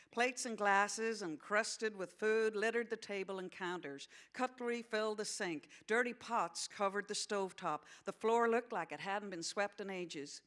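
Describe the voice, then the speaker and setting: A woman as a bright-voiced, bookish American farm girl. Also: mid-range voice, natural voice. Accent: attempted American. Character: bookish sixteen-year-old American farm girl narrating her story. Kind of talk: dramatised novel reading.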